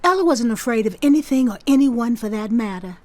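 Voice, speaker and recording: An actress in an audio drama playing an amused woman who reminisces fondly with emphatic, animated storytelling. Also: fairly high pitch, a little fast, dry recording, lightly acted. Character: amused woman fondly recalling an old scare. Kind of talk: naturalistic audio drama acting.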